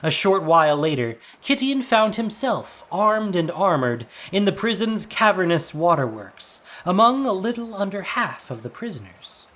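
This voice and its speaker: A man's voice: high voice, very animated, moderate pace, hardly any pauses, fairly bright tone. A man narrating a fantasy audio drama as a scripted, dramatic storyteller.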